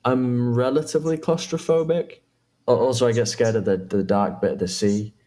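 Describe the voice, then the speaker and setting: A man's voice: medium pitch, steady tone, moderate pace, dark tone, natural voice. A man playing a nervous, friendly young job applicant and victim in an analog horror film, with a hesitant, stammering delivery. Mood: chatty, upbeat.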